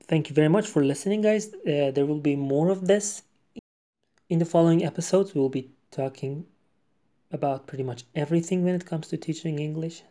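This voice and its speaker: Man from iran, english speaker, podcast host, warm dark voice, casual monologue. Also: on the high side. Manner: conversational, frequent fillers and restarts.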